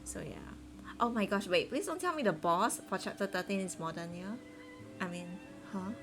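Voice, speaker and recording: A woman's voice: medium pitch, lively intonation, normal speed. Giggly woman streamer with music behind. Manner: giggly, hesitant, rambling. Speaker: game streamer. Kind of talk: casual live-stream chat.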